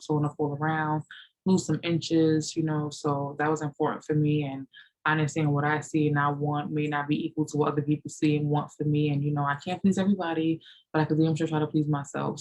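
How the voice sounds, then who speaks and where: A woman's voice: low-pitched, a little mellow, rough recording. A woman in her late thirties answering viewer questions in a loose, rambling chat, full of "you know" and "like". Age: 30s. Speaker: fitness vlogger. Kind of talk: casual Q&A chat.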